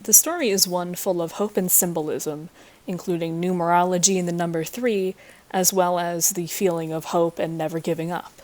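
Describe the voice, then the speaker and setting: A woman's voice: on the low side, steady tone, normal speed, darkish tone. A young adult woman giving a scripted class presentation as a student, recorded at a computer. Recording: faint background noise.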